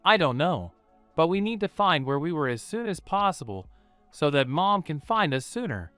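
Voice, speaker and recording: A man's voice: on the high side, very animated, normal speed, a bit bright, smooth, no echo, soft background music, voice pushed up. A man doing an earnest young boy. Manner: scolding, earnest. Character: serious young boy, protective brother.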